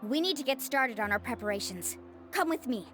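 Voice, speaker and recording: Actress as upbeat young game tour guide girl, high lively voice, friendly n confident, music behind. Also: fairly quick, lightly acted. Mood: encouraging. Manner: breezy, assured. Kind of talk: video game voice acting.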